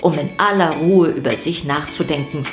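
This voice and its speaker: Low-pitched woman narrating for children. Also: normal speed, faint music behind. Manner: playful, addresses listeners directly. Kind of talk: scripted storytelling for a children's podcast.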